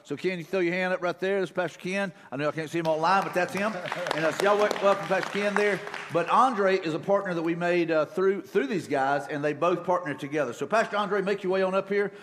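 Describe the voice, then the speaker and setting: A man, a church leader casually introducing a guest speaker from the stage in a relaxed, folksy way. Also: sits high, fast. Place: church sanctuary. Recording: reverberant church with crowd and noise.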